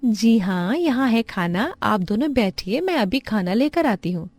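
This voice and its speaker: A woman voicing a kind, humble young village wife who runs a roadside eatery in a cartoon story, speaking earnestly and gently. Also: fairly high pitch, animated, unhurried, dry recording. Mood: helpful.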